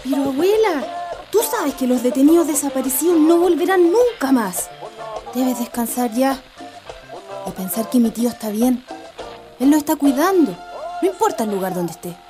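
A woman's voice: high-pitched, sing-song, moderate pace, music in the background. A woman playing an idealistic, affectionate schoolgirl activist with a Chilean accent in a radio drama. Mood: concerned, curious. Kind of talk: amateur radio drama acting.

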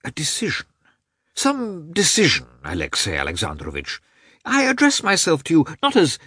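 An earnest gentleman acted by a male narrator pleads effusively in an audiobook. His pitch leaps high and swoops widely, every appeal pressed with emphasis, in a husky voice. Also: wildly swooping pitch, normal speed, no room sound, clean studio sound, full character voice. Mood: earnest pleading. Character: earnest, pleading, excitable gentleman begging for pity. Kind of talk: audiobook character voice.

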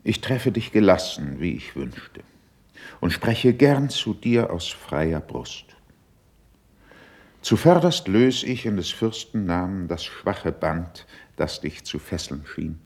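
A man acting a composed, persuasive courtier in a classical verse radio play, with a husky voice and a deliberate, courteous, emphatic delivery. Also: sits low, big pitch swings, a little mellow, full character voice. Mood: calm, courteous. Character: composed, diplomatic male courtier, persuasive and cautious.

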